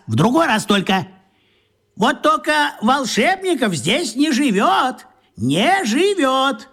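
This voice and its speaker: Cranky self-important old grump, squeaky high husky voice, drags slow. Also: male voice, fairly bright tone, full character voice. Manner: grumbling, repetitive, self-important. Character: grumpy pot-bellied man in pajamas, proud veteran. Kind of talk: radio play acting.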